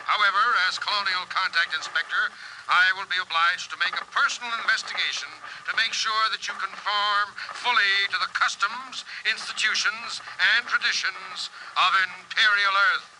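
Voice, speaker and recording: An actor in a 1950s radio drama playing a pompous, peremptory colonial inspector, heard over a radio set. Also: male voice, high-pitched, fairly even intonation, moderate pace. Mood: imperious. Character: domineering, officious imperial colonial inspector, male. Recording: bright, faintly noisy old radio recording.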